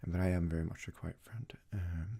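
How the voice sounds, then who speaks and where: A young man from Sweden, a native Swedish speaker, talks casually in a soft-spoken, hesitant ASMR style as he answers questions. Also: sits low, fairly even intonation, brisk, creaky, slightly rough. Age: young adult. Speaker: ASMR creator.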